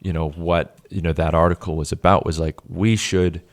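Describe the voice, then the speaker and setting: A man's voice: deep voice, fairly even intonation, normal speed. A young man from New Hampshire hosting a podcast interview, asking long, rambling questions full of fillers. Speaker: podcast host. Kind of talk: casual conversational interview.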